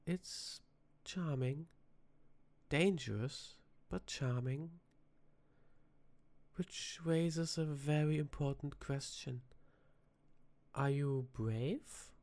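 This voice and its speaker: A performer voicing a flirty, teasing vampire tavern bartender in a soft-spoken ASMR roleplay, with dramatic pauses. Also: mid-range voice. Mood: teasing, intrigued.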